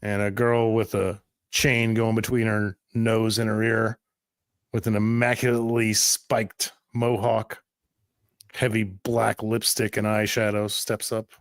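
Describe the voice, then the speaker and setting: A man's voice: fairly low pitch, little pitch movement, measured pace, dry recording, studio quality. A man acting as game master, improvising descriptive narration for a tabletop roleplaying game.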